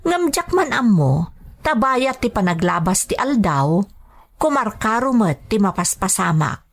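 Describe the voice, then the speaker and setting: A woman's voice: medium pitch, very animated, measured pace. A woman with a Filipino accent playing a patient, anxious young maid working abroad in a radio drama. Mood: weary, confiding. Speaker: drama actor. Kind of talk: radio drama acting and letter narration.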